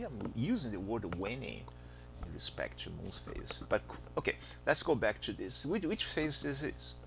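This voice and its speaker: A man's voice: low-pitched, creaky. A man from Brazil with a Brazilian Portuguese accent, teaching astronomy in a chatty recorded lecture, quizzing his students and making joking asides. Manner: chatty, asks students questions, jokes aside. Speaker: astronomy instructor. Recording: dull lo-fi voice recording.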